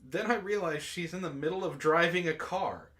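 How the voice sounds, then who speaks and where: A man's voice: a little high, moderate pace, fairly bright tone. A man hosting a book club, reading prose aloud dramatically and breaking off into exasperated asides.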